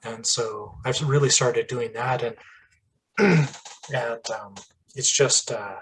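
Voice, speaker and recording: A man hosting a casual interview, chatty and rambling, with asides and the odd throat clearing. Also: medium pitch, takes it slowly, a bit bright, slight background noise, low-quality recording. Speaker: podcast host.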